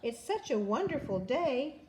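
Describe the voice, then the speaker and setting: A woman's voice: high-pitched, sing-song, a little slow, roomy sound, noisy background, pitched above the performer's own voice. A woman voicing cheerful, nosy animal friends from a storybook in an eager singsong way.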